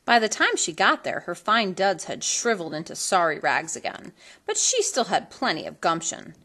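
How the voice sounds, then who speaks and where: A woman reading a children's storybook aloud in an expressive, theatrical manner that leans on colorful words. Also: on the low side, normal speed, husky. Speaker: storybook reader.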